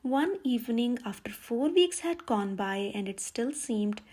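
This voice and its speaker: A woman's voice: fairly high pitch, expressive, a little slow, a little mellow. A woman storyteller reading a children's picture book aloud as scripted narration. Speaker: storyteller, channel host.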